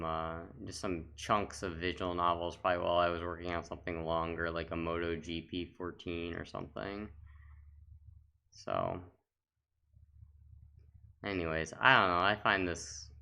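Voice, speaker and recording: Deep-voiced man, casual rambling commentary. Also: sharp tone, clear, resonant tone. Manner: rambling, reminiscing. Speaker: gaming video host.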